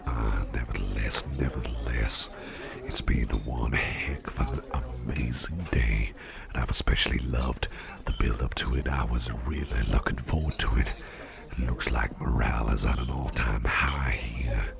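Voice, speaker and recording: Man playing an extremely deep, gravelly devilish boss. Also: full character voice. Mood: fond, courteous. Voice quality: very gravelly, breathy murmur dropping into whispers. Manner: courtly, unhurried, repeats phrases appreciatively. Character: suave devilish male businessman boss, doting and gracious. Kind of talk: soft-spoken ASMR roleplay. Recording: party crowd murmur behind voice. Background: crowd chatter.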